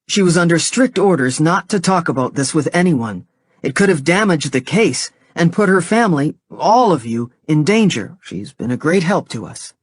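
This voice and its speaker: Woman reader doing a calm, formal cop: measured, polite. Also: low voice, husky, no room sound. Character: calm, formal male police officer.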